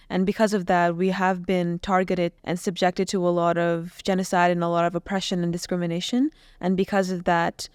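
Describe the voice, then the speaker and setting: Young woman guest from Iran, smooth clear voice, dry studio sound, earnest and reflective delivery. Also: on the low side, darkish tone, no room sound. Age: young adult. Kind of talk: podcast interview. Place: small podcast studio. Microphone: boom mic on stand.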